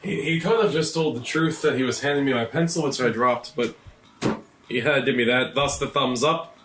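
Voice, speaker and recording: Casting: male game player, mid-range voice, casual halting talk, roomy distant recording. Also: medium pitch, a bit bright. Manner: hesitant, thinking aloud. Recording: echoey, distant mic, faint noise.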